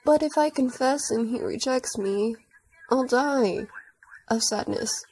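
A woman's voice: a little high, takes it slowly, a little mellow, slightly rough, full character voice, low-quality recording. A woman voicing a lovesick, melodramatic young man in a fan comic dub. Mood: despondent.

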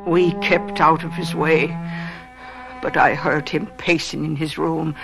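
A woman's voice: deep voice, little pitch movement, a little slow, gravelly, over music, rough recording. An actress playing a concerned, loyal housekeeper in a gothic radio drama, earnest as she tells the story. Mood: grave storytelling. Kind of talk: radio drama narration and acting.

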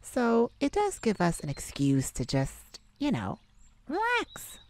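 Woman acting a sweet, slow-talking, tender boyfriend. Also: a little high, huge pitch swings, no room sound, full character voice. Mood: affectionate, teasing. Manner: tender, gently teasing and coaxing, with sighs. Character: sweet, caring young boyfriend comforting his partner. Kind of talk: intimate ASMR roleplay.

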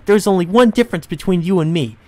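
A man's voice: sits high, fast, darkish tone, dry recording, pitched above the performer's own voice, close to an everyday voice. A man voicing a gleefully menacing, unhinged young woman from a visual novel, with a gloating, giggly manner. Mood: gleeful menace.

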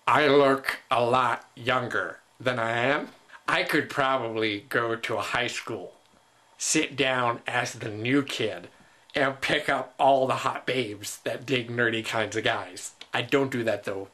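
A man in his twenties giving a comedic dramatic reading with theatrical, deliberate phrasing. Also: medium pitch, sharp tone. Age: 20s. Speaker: comic performer. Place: small indoor room.

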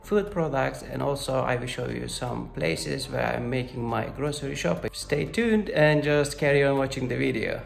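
A man's voice: mid-range voice, moderate pace, gravelly, hardly any pauses. A man in his thirties, a student ambassador, giving a cheerful vlog tour while filming himself on a phone at arm's length. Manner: upbeat, non-native phrasing. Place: indoors and outdoors on campus, selfie filming. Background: music.

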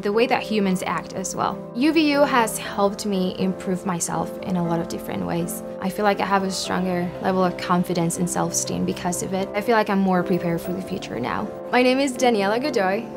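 A woman's voice: medium pitch, fairly quick, creaky, few pauses. A young woman from Venezuela, a university student speaking earnestly about her studies in a filmed testimonial. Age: young adult. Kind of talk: interview testimonial. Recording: music bed underneath.

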